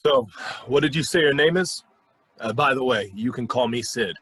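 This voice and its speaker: A husky, skeptical cabaret boss, played by a man in a radio drama. He is curt and sardonic with a soft heart underneath, in a mid-pitched voice. The sound is dry, with no room on it. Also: moderate pace, dry recording. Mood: skeptical, dismissive. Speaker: actor in a radio play. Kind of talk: radio play acting.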